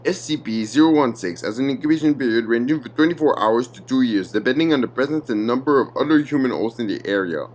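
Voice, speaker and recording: A man giving a formal, measured scripted narration of a clinical document. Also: mid-range voice, animated, a little mellow, slight background noise.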